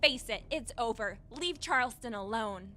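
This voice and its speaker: A woman's voice: a little high, lively intonation, moderate pace, sharp tone, no room sound, soft background music. A young woman playing a brave, protective teenage girl in an audio drama, urgent, earnest and defiant. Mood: determined. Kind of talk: scripted audio drama acting.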